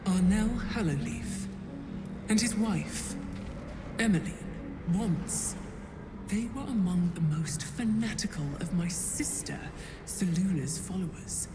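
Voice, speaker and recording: An imperious, seductively cruel dark goddess from a fantasy video game. She speaks slowly and grandly in a cavernous reverb, with music behind her. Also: lowish voice. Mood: cold, coaxing. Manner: grand, measured, breaking into whispers. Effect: heavy godlike reverb. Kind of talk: dramatic fantasy game acting.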